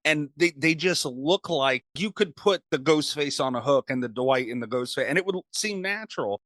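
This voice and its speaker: A man's voice: fairly high pitch, animated, normal speed, a bit bright, no room sound, studio quality. A man hosting a podcast, chatting casually as he interviews a guest.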